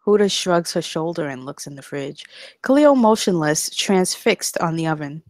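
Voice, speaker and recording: A woman's voice: lowish voice, lively intonation, moderate pace, a little mellow, dry recording. A woman narrating screenplay stage directions at a table read, in a scripted style.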